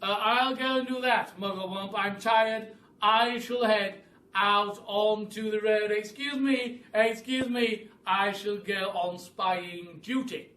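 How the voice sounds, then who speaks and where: A man voicing an excitable, pompous roly-poly bird in a children's storybook, exclaiming and repeating words urgently. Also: high voice, takes it slowly, voice pushed up, full character voice. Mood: weary, dutiful. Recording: roomy, distant mic, faint hiss.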